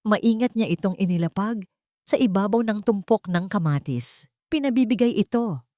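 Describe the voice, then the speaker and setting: A woman narrating a first-person dramatic story in a measured, dramatic pace. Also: mid-range voice, lively intonation, dark tone, dry recording, studio quality. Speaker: audiobook narrator.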